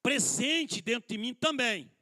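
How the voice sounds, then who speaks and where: A man's voice: very high-pitched, big pitch swings, measured pace, sharp tone. A middle-aged male pastor preaching a conversational sermon at a church conference, calling the listeners brothers, asking rhetorical questions and joking about himself. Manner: addresses listeners as brothers, rhetorical questions, self-deprecating humor. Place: church hall.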